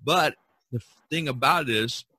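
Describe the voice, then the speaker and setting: Bright-voiced man chatting as podcast host. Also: medium pitch, normal speed, breathy edge, dry recording. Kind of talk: casual podcast chat.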